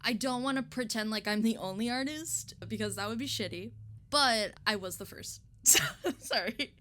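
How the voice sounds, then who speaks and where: A young woman with a slightly high voice talks in a casual interview as an illustrator. She is effusive and giggly, and swears freely as she chats. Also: normal speed, a bit bright.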